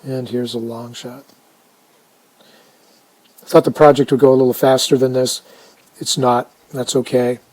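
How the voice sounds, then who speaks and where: A man casually narrating a hands-on restoration video, unhurried and halting as he thinks aloud. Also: medium pitch, little pitch movement, darkish tone, rough recording. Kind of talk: casual narrated how-to commentary.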